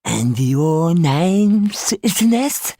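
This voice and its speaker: A nasty drunk storybook turnkey, slow raspy drawl, sneery and swoopy. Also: male voice, a little high, very animated, dark tone, dry recording, studio quality, voice pushed up, heavily acted. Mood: sneering, mock-polite. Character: ugly, bad-tempered, drunken male prison turnkey.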